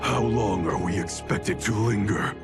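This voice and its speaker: A man acts out a proud, cold grandmaster of a ninja clan in a cinematic game. His very low voice is curt and scornful, full of authority, with music behind it. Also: measured pace, lightly acted. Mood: impatient, scornful.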